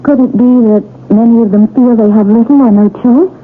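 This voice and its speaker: An actress playing an academic's wife in a 1970s radio drama. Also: female voice, fairly high pitch, brisk, natural voice. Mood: earnest. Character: Mady, gracious wife who slips into haunted trance. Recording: tinny, noisy 1970s radio recording.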